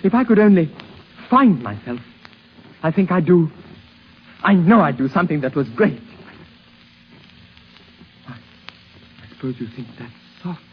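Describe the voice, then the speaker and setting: An actor playing a sensitive young grandson in a 1950s radio drama, speaking earnestly and hesitantly, full of emotion. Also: male voice, fairly high pitch, big pitch swings, unhurried, pauses often. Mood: passionate, yearning. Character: sensitive, yearning young musician grandson, shy but passionate. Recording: muffled, hissy 1950s radio recording.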